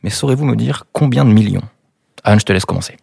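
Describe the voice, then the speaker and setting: A man's voice: on the low side, fast talker, creaky, warm tone, breathy edge, no room sound, studio quality. A man from Brittany hosting a conversation with guests, upbeat, with playful game-show asides. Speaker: podcast host. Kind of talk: hosted conversation.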